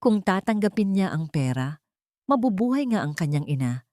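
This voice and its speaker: A woman's voice: mid-range voice, big pitch swings, moderate pace, warm tone, no echo, studio quality. A woman reading a dramatic scripted story as an audiobook narrator.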